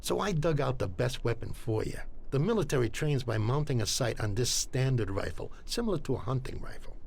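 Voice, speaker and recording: Casting: gravelly underworld gunsmith, knowledgeable and helpful, animated game dialogue, faint noise behind. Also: male voice, medium pitch, a little fast. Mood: confident, helpful. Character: gruff, knowledgeable, helpful Mafia armorer. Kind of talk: scripted video game dialogue.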